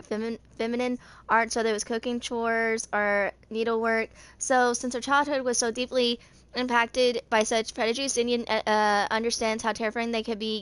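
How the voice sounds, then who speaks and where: A woman in her twenties reading written game lore aloud in an amateur narration, haltingly, stumbling over words and rereading them. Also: a little high, fairly bright tone, clean tone. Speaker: video creator reading game character lore.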